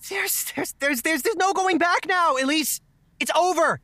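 A man's voice: very high-pitched, unhurried, pushed and bright, dry recording, heavily acted. A woman voicing an anxious young man who stutters as he finally stands up to his controlling partner. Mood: shaky defiant. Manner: stuttering, shaken, defiant. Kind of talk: audio drama acting.

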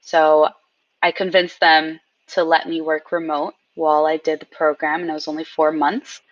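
A woman guest telling stories in a casual interview, animated and acting out what people said. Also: sits low, normal speed, dry recording, recorded from a distance, highs cut off. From Queens, New York. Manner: animated storyteller, acts out quotes. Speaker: guest, game company founder.

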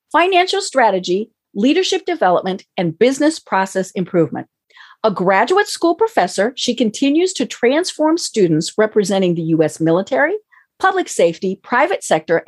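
Bubbly husky-voiced woman host. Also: a little high. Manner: bubbly, gushing, frequent fillers. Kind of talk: enthusiastic interview hosting.

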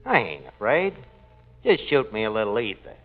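An actor playing a boastful tavern manager in a 1940s radio comedy, delivering folksy, pompous wisecracks and mangled words. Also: male voice, on the low side, wildly swooping pitch, normal speed. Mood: philosophizing. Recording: muffled old radio broadcast with hiss.

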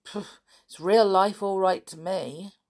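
A woman's voice: sits low, animated, moderate pace. A woman voicing a plucky, carefree circus boy with breezy, informal speech in a children's adventure story.

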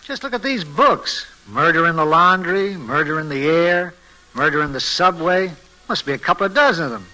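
An actor playing an eager, slangy police assistant in an old-time radio mystery. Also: male voice, a little high, expressive, moderate pace, pushed and bright, low-quality recording. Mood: eager, brisk.

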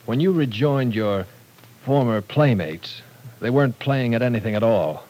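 A man's voice: mid-range voice, lively intonation, normal speed, mellow, close to an everyday voice. An actor playing a firm, fatherly professor in a 1940s radio drama, lecturing and cutting in to keep the talk in order. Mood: stern.

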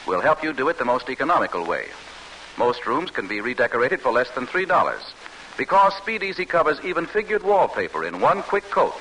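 A man's voice: fairly high pitch, sharp tone, low-quality recording. An American man reading a polished, salesmanlike 1940s radio advertisement. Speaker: commercial announcer. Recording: hissy old radio recording.

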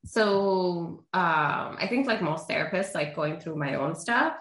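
A young woman originally from Honduras, with Spanish as her first language, talks candidly as a therapist guest on an interview show. Her voice sits a touch low, and the room around her rings with a clear echo. She tells her story in a loose, lively way, full of everyday fillers. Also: unhurried. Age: young adult. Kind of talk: casual interview chat. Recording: roomy echo.

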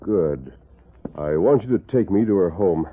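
A man's voice: low-pitched, lively intonation, measured pace, narrow, tinny audio. An actor playing a heroic, level-headed western lawman, firm and formal in an old-time radio drama. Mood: brisk, commanding. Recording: noisy, band-limited vintage radio recording.

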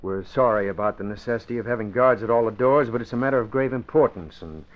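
A man playing a courteous, formal security agent with measured delivery in an old-time radio drama. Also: mid-range voice, lightly acted. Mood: polite, official. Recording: dull vintage radio recording.

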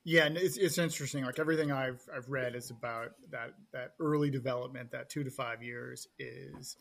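A man from the Midwest, guest host, asking casual, halting interview questions and giving short affirmations. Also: medium pitch, steady tone, gravelly, fairly bright tone. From Midwest, United States.

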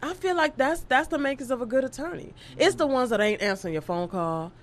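A woman in her thirties from New York, an attorney chatting casually, animated and laughing as she acts out what people say. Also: sits high, fairly quick. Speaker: attorney, guest. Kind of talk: casual podcast chat.

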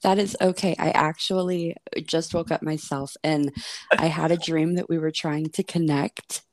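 A woman with a notably low voice hosts a casual podcast, gushing about her guest with plenty of "um"s and "like"s. She speaks in a relaxed, effusive way. She is recorded at home for a remote chat. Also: normal speed. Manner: chatty and effusive, many fillers. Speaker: podcast host. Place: home in Idaho.

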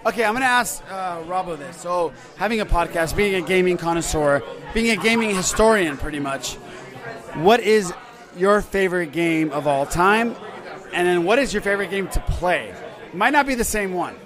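A man's voice: high voice, lively intonation, normal speed. A man hosting a casual podcast chat, asking questions in an enthusiastic manner. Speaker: podcast host. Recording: busy crowd noise around the voices.

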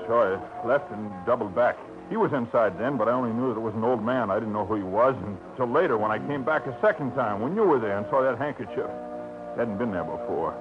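An actor playing a nervous, cornered man giving a hesitant, halting confession in a 1950s radio drama. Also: mid-range voice, expressive, natural voice. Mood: reluctant. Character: nervous male informant, cornered and reluctant. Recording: muffled old radio recording with music.

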